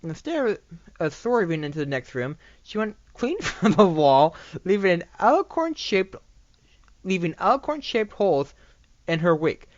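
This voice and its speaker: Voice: medium pitch, big pitch swings, moderate pace, no room sound. An amateur narrator reading fan fiction aloud, often stumbling and repeating phrases. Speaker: story narrator. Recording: dull, dry home recording.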